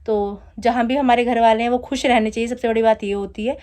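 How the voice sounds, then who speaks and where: A woman in her thirties talks straight to her viewers in a casual home vlog, with a slightly high voice. She chats easily and teasingly, laughing as she goes. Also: fairly even intonation, fairly quick, darkish tone. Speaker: vlogger. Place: home at night, DJ music playing outside.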